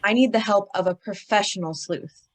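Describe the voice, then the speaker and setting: A woman's voice: medium pitch, moderate pace, lightly acted. A woman playing a prim, pompous male department-store executive in old-time radio style, formal, stiff and precise. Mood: formal, officious.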